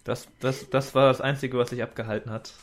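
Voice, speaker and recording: A man hosting a relaxed livestream interview, passing viewer questions on to his guest. He talks haltingly, stopping often to restart and filling the gaps with hesitations, at a middle pitch for a man. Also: slight background noise. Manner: halting, many fillers. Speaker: stream host.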